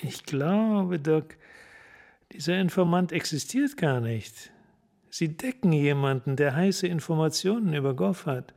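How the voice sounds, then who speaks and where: An actor in a German radio crime drama playing a tough, cynical police detective, blunt, terse and bullying. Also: male voice, a little high, expressive, measured pace, creaky, a little mellow. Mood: menacing. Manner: blunt, terse, hard-boiled.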